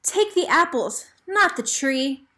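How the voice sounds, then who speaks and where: A woman's voice: high-pitched, animated, slow, bright, forceful tone, pitched above the performer's own voice, full character voice. A woman voicing a sweet, helpful apple grower in a children's storybook, folksy, emphatic and easily alarmed.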